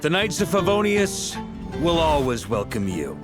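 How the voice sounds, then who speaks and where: Slow, widely swooping knightly man. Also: a little high, very animated. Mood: earnest. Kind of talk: video game voice acting. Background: music with guitar.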